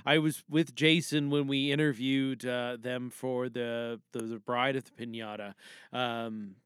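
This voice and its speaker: A middle-aged man talks loosely and casually into a podcast, audibly tipsy and wandering as he goes. His voice is smooth and clear at an ordinary pitch, recorded dry and close with very little room sound. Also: little pitch movement, fairly bright tone, no room sound. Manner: rambling, halting, loose. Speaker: podcast contributor. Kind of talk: unscripted casual monologue.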